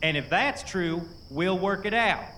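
A by-the-book Pinkerton guard refuses to give an inch, speaking in a calm, firm way. His voice sits a little high and bright as a man puts it on. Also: a little slow, slight background noise, full character voice, low-quality recording. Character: stubborn male Pinkerton guard.

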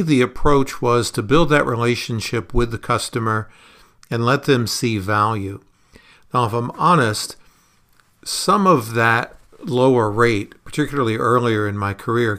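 A man talking conversationally on a podcast as a consultant being interviewed, explaining things in a measured way. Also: mid-range voice, fairly bright tone.

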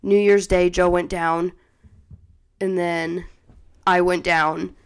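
A woman's voice: on the low side, little pitch movement, takes it slowly, clean tone. A young woman co-hosting a casual chat podcast, stuffy from a cold, telling stories with a dramatic streak. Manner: dramatic, storytelling. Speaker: podcast co-host.

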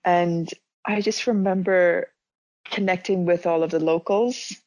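A young woman from Zimbabwe, raised speaking Dutch, telling stories in a relaxed conversation, reflective and full of small restarts. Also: on the low side, measured pace, dry recording, low-quality recording. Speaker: interviewee. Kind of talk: casual conversation.

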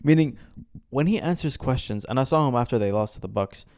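Young man, American-sounding accent, podcast co-host, opinionated sports talk, mellow and smooth. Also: mid-range voice, brisk. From Kuwait. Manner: opinionated, animated when ranting. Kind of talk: casual sports chat.

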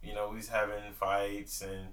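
A man's voice: lowish voice, completely flat delivery, moderate pace, a bit bright, roomy sound, far from the mic. A man in his early twenties, talking casually about his marriage and faith, with lots of fillers and loose grammar. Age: 20s. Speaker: podcast co-host. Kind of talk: casual faith-based couple talk.

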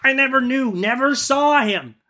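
A man's voice: extremely high pitch, expressive, normal speed, pushed and bright, pitched above the performer's own voice, heavily acted. A man voicing a frightened, innocent merchant in a storybook tale, pleading politely and deferentially in formal words. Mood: anxious pleading.

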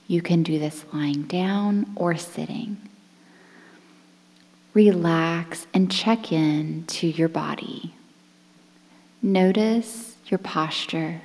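Female meditation guide, deep and mellow, soft breathy delivery, bathed in long reverb. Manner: soothing, breathy, near-whispered, measured phrases with pauses. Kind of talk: guided relaxation meditation, softly spoken. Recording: long reverberant hall-like echo.

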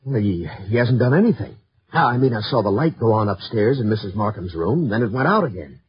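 An actor playing a hesitant stakeout helper giving a halting, informal report in a 1950s radio drama. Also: male voice, on the low side, animated, slightly rough. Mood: uneasy, hushed. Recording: dull, muffled 1950s radio recording.

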